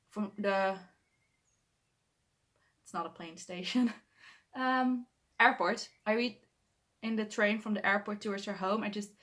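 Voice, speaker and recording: A woman's voice: medium pitch, a bit bright. A young woman from the Netherlands with a Dutch accent, talking to camera in her bedroom in a hesitant, self-correcting way with shy laughs. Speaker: booktuber. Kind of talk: casual talk to camera.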